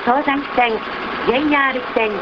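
A woman reading formal, polite scripted bus stop announcements, measured, heard through a vehicle's speaker on an old recorder. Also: steady tone, highs cut off. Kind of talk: scripted public transit announcement. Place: inside a city bus, played over its speaker. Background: loud bus engine and vehicle rumble.

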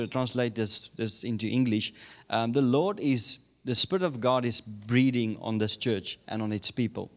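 A man in his thirties from South Africa, Afrikaans his first language, preaching a sermon with a South African accent on a handheld mic in a large church. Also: mid-range voice. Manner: chuckling often, building to emphatic declarations. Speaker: guest preacher. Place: large church sanctuary, indoors. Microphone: handheld microphone.

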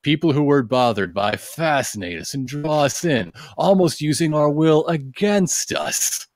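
A man's voice: fairly high pitch, expressive, measured pace, a little mellow, no room sound. Man reading aloud on a comedy podcast, dry sound. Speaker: podcast reader. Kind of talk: reading aloud with joking asides.

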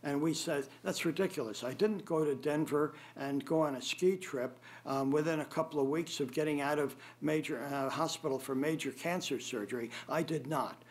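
An older man giving formal, unscripted testimony at a public hearing, speaking in a halting, hesitant way with frequent pauses. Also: on the high side. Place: government board meeting room, indoors. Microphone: gooseneck table microphone.

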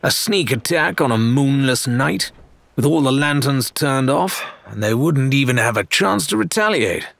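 A man's voice: mid-range voice, lively intonation, normal speed, vocal fry, a bit bright, no room sound, voice pushed up. A man voicing an argumentative, sardonic pirate crewman in an audiobook, with a mocking, eager manner. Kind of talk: audiobook character dialogue.

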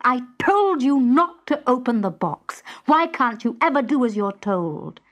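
A woman's voice: high voice, sing-song, measured pace, dull audio, voice pushed up. A woman voicing a furious husband from Greek myth, scolding emphatically word by word.

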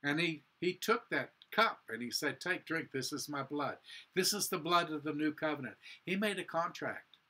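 A man in his sixties preaching and praying as a pastor, earnest and deliberate, in short measured phrases. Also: fairly high pitch, animated, sharp tone. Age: 60s. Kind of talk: sermon and prayer. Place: indoor room with a cross, small chapel or office.

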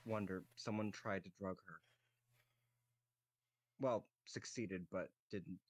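Low-voiced, halting man in a roleplay. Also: steady tone, slightly rough. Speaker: tabletop roleplay player speaking in character. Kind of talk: improvised in-character dialogue.

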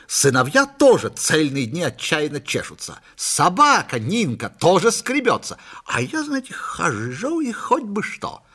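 A boastful, gullible everyman of a comic storybook, acted out by a male reader. He exclaims in a high, husky voice that swoops dramatically and drags out words for emphasis. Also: huge pitch swings, measured pace, sharp tone, full character voice. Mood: smug, triumphant.

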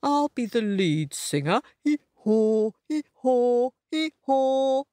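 A cheery, self-important male donkey from a storybook, played by a woman. He speaks with big swoops of pitch at an unhurried pace, bossing his friends along with jovial exclamations. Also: big pitch swings, no room sound. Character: vain, cheery male donkey, would-be lead singer. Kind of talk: storybook character voice.

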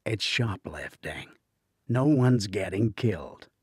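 A man's voice: on the low side, expressive, slow pace, darkish tone, breathy edge, dry recording, studio quality, pitched above the performer's own voice, exaggerated voice. A man voicing a stubborn, earnest tech colleague in an audiobook, defensive and matter-of-fact.

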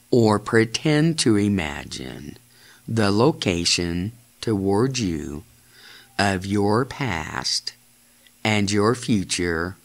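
Very slow, low-pitched, creaky man. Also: fairly bright tone. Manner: deliberate, halting phrasing with repeated instructions. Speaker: guided imagery narrator. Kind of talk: slow guided meditation.

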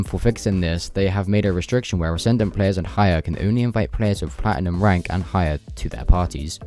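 A man's voice: low voice, mellow. A young man hosting a gaming video, narrating update notes in an informal, loosely worded way. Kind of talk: casual patch-notes narration.

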